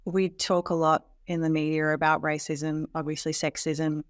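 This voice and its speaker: A woman's voice: low-pitched, little pitch movement, moderate pace, no echo, clean studio sound. A woman hosting a casual interview podcast, asking questions and reacting with short encouraging responses. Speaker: podcast host.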